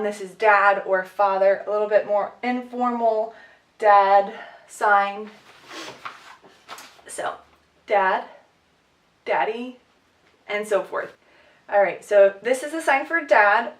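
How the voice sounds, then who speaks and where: A woman's voice: mid-range voice, slow pace, pauses often. Sick woman teaching from across a room. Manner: chatty, many "um"s, light laughs. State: sick, sniffly, short of breath. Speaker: sign language teacher. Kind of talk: casual vlog lesson. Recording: echoey room, far from mic.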